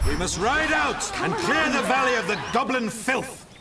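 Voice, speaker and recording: A man's voice: extremely high pitch, lively intonation, moderate pace, heavy background noise. A male actor playing a noble, resolute elf lord with a formal, commanding manner in a fantasy game. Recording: distant voice amid battle crowd.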